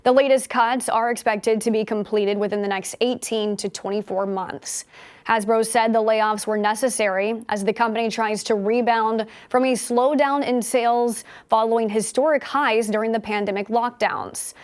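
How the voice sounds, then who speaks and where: An American woman with a fairly high voice presents scripted news as an anchor. Her delivery is measured and formal, in the manner of a newsreader working through prepared copy. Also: steady tone, smooth.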